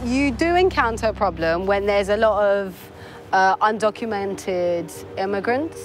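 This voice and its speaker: A woman's voice: medium pitch, expressive, unhurried. Woman from England, music behind. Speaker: street interviewee. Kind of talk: giving opinions in an interview.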